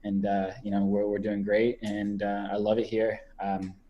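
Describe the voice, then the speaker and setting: A man's voice: on the low side, monotone, normal speed, highs cut off. A man in his twenties, a podcast guest talking casually over a video call, rambling with many fillers and repeated words. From Canada. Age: 20s.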